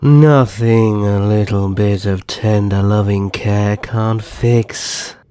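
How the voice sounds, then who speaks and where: Man playing a slow, suave vampire naga kidnapper. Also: lowish voice, steady tone, dark tone, slight background noise. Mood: coaxing, condescending. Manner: slow, courtly, condescending endearments. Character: suave older vampire naga brother, a coaxing, mocking kidnapper. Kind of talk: ASMR roleplay acting.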